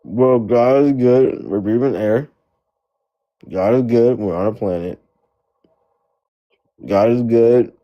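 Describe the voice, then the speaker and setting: A man's voice: medium pitch, slow, long pauses, muffled recording. A man hosting a casual debate chat, joking and rambling with lots of repetition.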